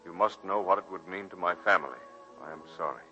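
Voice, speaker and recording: Deep, ringing romantic professor. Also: male voice, bright, forceful tone. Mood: grave. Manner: literary, measured, ardent. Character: earnest, romantic married college professor in love. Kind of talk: 1940s radio acting. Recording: muffled vintage 1940s radio broadcast, music behind.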